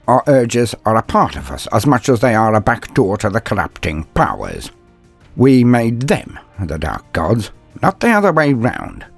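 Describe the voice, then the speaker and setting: Man acting older sci-fi inquisitor, gruff mentor, teasing, blunt, husky, animated pitch, audio story. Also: exaggerated voice. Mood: stern, philosophical. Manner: hesitant euphemisms, throat-clearing, teasing bluntness.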